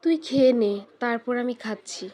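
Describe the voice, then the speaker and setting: A woman's voice: a little high, brisk, warm tone, no room sound, close to an everyday voice. A woman voicing a poor, caring, hard-working village mother in a children's cartoon. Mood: weary, caring.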